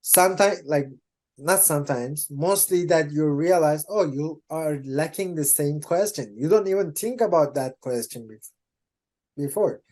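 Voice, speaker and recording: A man from Turkey with a Turkish accent and a somewhat high-pitched voice. He talks casually and haltingly, repeating words as he searches for what to say. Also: a little mellow. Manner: hesitant, many restarts and laughs. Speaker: interview guest, Salesforce developer. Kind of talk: casual conversational interview.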